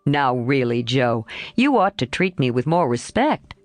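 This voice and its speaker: A woman reading aloud and voicing a mischievous, boyishly charming young man, lowering her voice in a playful, sheepish way. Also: very animated, unhurried, no room sound, full character voice. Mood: fond.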